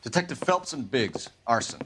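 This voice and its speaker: A man's voice: mid-range voice, very animated, moderate pace, fairly bright tone, a little hiss or hum, poor audio. An American man playing an intense, accusing arson detective in a video game, with clipped, interrogating speech. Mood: probing, businesslike. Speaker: police detective. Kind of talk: video game dramatic acting.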